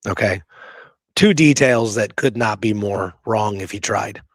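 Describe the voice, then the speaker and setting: A man's voice: on the low side, unhurried, dry recording, studio quality. A man co-hosting a history talk show, reading out quoted passages and adding informal asides of his own. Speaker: podcast co-host.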